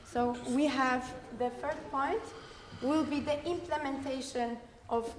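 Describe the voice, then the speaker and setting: A woman takes the floor at a conference, her voice sitting a little high. She speaks directly and insistently, with frequent "uh" pauses as she works through her points. The room rings with a long echo, and a faint hum sits underneath. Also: steady tone. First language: Polish. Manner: direct, insistent, many hesitations and fillers. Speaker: audience member, philosopher. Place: conference hall.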